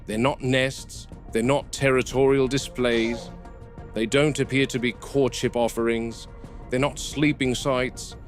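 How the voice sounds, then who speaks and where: A man playing a grave, observant game warden, narrating a scripted field log in a measured, dry, reflective way. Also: medium pitch, close to an everyday voice.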